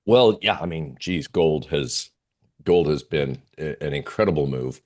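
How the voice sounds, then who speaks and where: Very deep-voiced man, casual and hesitant. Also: expressive, unhurried, darkish tone. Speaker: interview guest, oil trader. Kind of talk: casual chart presentation.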